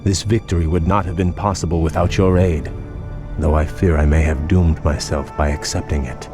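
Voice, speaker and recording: An actor playing a proud, troubled young elf prince in a fantasy game, speaking in a grave, formal way. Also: male voice, rumbling low, steady tone, moderate pace. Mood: solemn, troubled. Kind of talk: dramatic fantasy game voice acting. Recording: background music under the voice.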